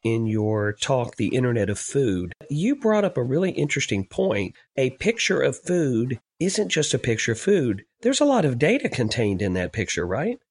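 A man's voice: mid-range voice, very animated, moderate pace, hardly any pauses, darkish tone, breathy edge. A man hosting an interview podcast, asking questions in an upbeat, enthusiastic way. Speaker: podcast host.

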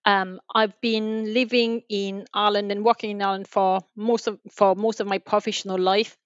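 A woman with a French accent answers interview questions conversationally. Her voice sits at an average pitch, and the sound is dull and very dry, with little of the room in it. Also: little pitch movement, moderate pace, clear, resonant tone, dry recording. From France. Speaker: podcast guest, telecom sales manager.

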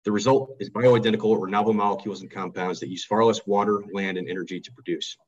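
Deep-voiced male webinar host. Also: fairly even intonation, fast, dull audio. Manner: reads a scripted intro, then hesitant with fillers and restarts. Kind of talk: webinar moderation.

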